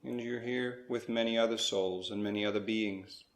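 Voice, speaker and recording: Low, even-toned man guiding a meditation, roomy echo. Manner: measured delivery in short phrases with pauses. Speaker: guided meditation leader. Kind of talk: slow guided spiritual meditation.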